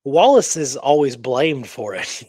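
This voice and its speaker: A man with a mid-pitched voice talks history as a show's co-host. He is emphatic and conversational, breaking his delivery with halting asides. The sound is dry and close, with little room in it. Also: sing-song, warm tone, breathy edge, no echo. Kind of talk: conversational history discussion with read-aloud documents.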